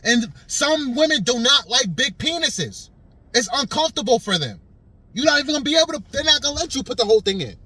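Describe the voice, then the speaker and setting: Blunt rambling thirty-something man, high voice. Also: very animated, brisk, pushed and bright, slightly rough, low-quality recording. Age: 30s. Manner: blunt, rambling, repeats phrases, keeps adding "man". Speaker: YouTuber. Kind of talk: casual commentary vlog.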